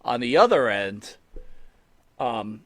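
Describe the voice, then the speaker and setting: Host: man, animated pitch, cheeky probing interviewer, dry close mic. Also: slow, dry recording. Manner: joking, probing questions, hesitant fillers. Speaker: podcast host. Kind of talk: casual interview chat.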